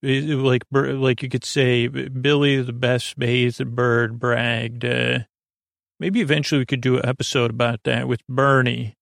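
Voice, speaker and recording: A man with a creaky voice hosting a podcast as a soothing, gently whimsical bedtime monologue, meandering and hesitant, with many tangents and pauses. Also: mid-range voice, flat delivery, dry recording, clean studio sound. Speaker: podcast host.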